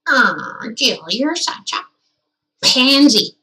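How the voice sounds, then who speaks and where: A woman's voice: high-pitched, very animated, drawn-out words, bright, forceful tone, low-quality recording. A woman streaming a game with casual, teasing, irreverent commentary, chatting as she plays.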